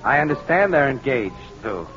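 Casual amused press man played by a man, mid-pitched, muffled vintage radio. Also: big pitch swings, normal speed, lightly acted. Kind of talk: 1940s radio drama acting. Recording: muffled old radio, music behind.

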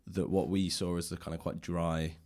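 A man with a low-pitched voice hosts a casual interview. He talks reflectively and at length, in a loose conversational style. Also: level tone, moderate pace, darkish tone. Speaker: podcast host, interviewer.

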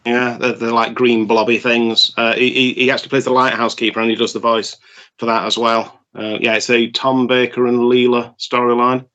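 A man co-hosting a podcast, chatting casually with quick jokey asides. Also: medium pitch, little pitch movement, pushed and bright, dull audio. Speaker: podcast co-host.

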